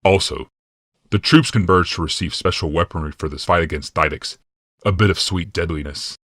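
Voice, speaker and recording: A man voicing a cold, scheming commander in an audiobook, speaking in a measured, quietly sinister and commanding way. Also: low-pitched, no echo, studio quality. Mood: calm menace. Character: cold, scheming male commander and healer, smugly menacing. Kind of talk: audiobook character dialogue.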